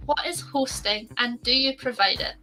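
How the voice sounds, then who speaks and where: Female co-host, Scottish accent, bright tense tone, light music behind. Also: high voice, moderate pace, low-quality recording. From Scotland. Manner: chatty, laughs easily. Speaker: co-host, designer. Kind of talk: casual business podcast chat.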